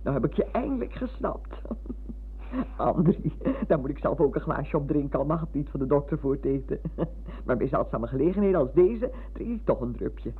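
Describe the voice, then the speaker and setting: An actress playing a warm, chatty older former schoolteacher in a Dutch radio play, full of exclamations. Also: female voice, deep voice, sing-song, moderate pace, husky, full character voice. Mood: delighted, reminiscing. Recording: muffled lo-fi old radio recording.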